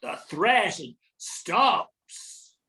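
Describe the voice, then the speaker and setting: Older female narrator, bright and forceful tone, scripted folk-tale storytelling. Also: mid-range voice, very animated, very slow.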